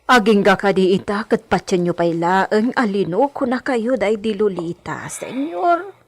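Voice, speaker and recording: Woman playing hacienda maid, medium pitch, very lively intonation, deferential, inquisitive, radio drama. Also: unhurried. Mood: worried, pleading. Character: respectful, inquisitive female household servant of a hacienda.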